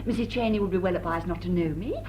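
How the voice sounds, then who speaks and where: A woman's voice: on the low side, fast, mellow, a little hiss or hum, natural voice. An actress playing a gushing, outraged upper-class English lady in a period comedy. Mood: theatrically aggrieved. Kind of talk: period stage comedy radio acting.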